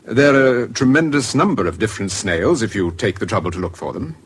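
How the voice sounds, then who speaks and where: An actor playing a courteous, slightly hesitant English gentleman with a secret, in a British radio drama. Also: fairly low pitch, animated, a little fast, breathy edge. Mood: genial, hesitant. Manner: polite, hesitant, slightly fussy.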